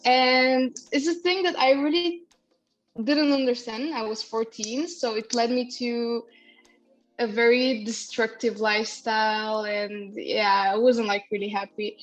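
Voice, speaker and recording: A woman's voice: fairly high pitch, steady tone, unhurried. Young woman, reflective guest. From Bulgaria. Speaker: photographer and poet, interview guest. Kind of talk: casual interview conversation. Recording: dull lo-fi sound with faint music.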